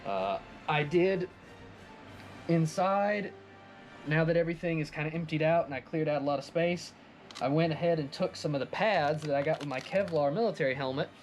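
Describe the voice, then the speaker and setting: A man with a fairly high voice chats through a hobby project in an enthusiastic, conversational way. He sounds like a casual vlogger showing his work, with music playing faintly behind him. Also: moderate pace. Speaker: hobbyist craft video presenter. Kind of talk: casual project recap vlog.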